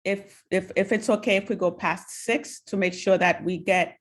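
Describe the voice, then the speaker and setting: Husky woman with a practical question. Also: medium pitch, fairly even intonation, normal speed. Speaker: committee member. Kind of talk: meeting discussion.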